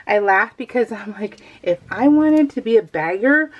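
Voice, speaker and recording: Middle-aged woman, American accent, medium pitch, informal craft vlog narration, chatty, rambling, self-deprecating. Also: lively intonation, moderate pace, highs cut off. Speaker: crafting vlogger. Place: indoors at a craft table, voiced live while working.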